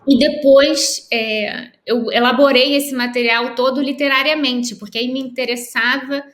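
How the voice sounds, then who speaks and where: A Brazilian woman author, a guest on a remote interview, answering in a thoughtful, measured way with pauses and restarts. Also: high voice, steady tone, a bit bright. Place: at home in Lisbon, by a window. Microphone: video call. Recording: echoey room on a video call.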